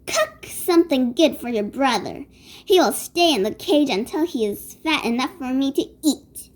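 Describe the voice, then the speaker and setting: A woman's voice: high voice, animated, normal speed. A woman voicing a wicked, sly old witch in a storybook read-aloud, with a cackling, screeching, commanding manner. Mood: bossy, scolding.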